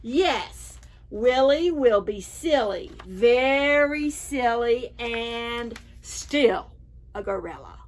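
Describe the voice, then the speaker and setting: A woman reads picture books to small children as a library storytime host. Her voice sits fairly high and moves in wide, animated swoops. She takes her time and addresses the children with questions. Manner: expressive, unhurried, asking the children questions. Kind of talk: picture-book read-aloud for preschoolers.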